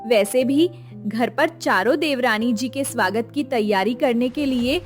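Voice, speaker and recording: A woman playing a meek, kindly young daughter-in-law, gentle and deferential, in a melodramatic moral story. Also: a little high, measured pace, soft background music, natural voice.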